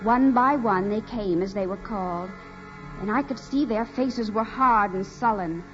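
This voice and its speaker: A woman's voice: medium pitch, unhurried, fairly bright tone, natural voice. An actress playing a naive, earnest young farm woman, hesitant and adoring, in a 1940s radio drama. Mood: wistful narration. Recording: muffled 1940s radio recording with music.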